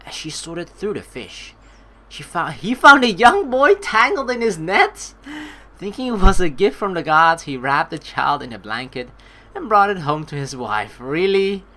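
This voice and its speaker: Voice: medium pitch, unhurried. A game streamer reading story dialogue aloud and throwing in joking, sarcastic reactions. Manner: animated, joking, sarcastic asides. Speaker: let's play streamer. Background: game music.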